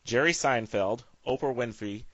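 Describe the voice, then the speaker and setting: A man's voice: medium pitch, normal speed, no room sound, muffled recording. A man hosting an interview, asking questions in a casual, conversational way. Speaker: podcast interviewer.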